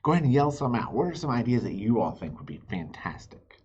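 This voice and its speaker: A man reading a children's storybook aloud expressively, pausing to chat with young listeners and ask them questions. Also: medium pitch, sing-song, a little mellow, highs cut off, slight background noise. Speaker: children's read-aloud host.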